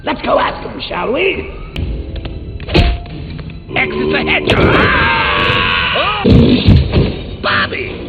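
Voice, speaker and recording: An actor playing a manic, theatrical clown supervillain in a video game, cackling and taunting with playful wordplay. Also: male voice, low voice, huge pitch swings, extremely slow, vocal fry, long pauses, heavily acted. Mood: gleeful. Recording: tinny lo-fi game audio with loud music.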